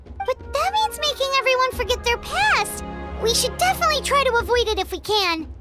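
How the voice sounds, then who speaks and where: Squeaky, tiny childlike fairy. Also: female voice, fairly quick, loud music behind the voice. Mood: worried, curious. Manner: excitable, blunt. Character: tiny childlike fairy companion, eager, blunt and excitable. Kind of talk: video game voice acting.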